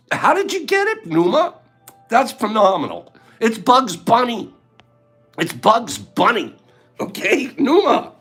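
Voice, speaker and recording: Male livestream host, high voice, playful and teasing with chat, faint music underneath. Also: fairly bright tone. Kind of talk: casual livestream chat with viewers.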